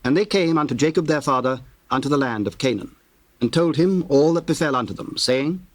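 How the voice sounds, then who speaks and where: A man's voice: a little high, lively intonation, dry recording. Formal male scripture narrator, dry sound. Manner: formal, measured reading.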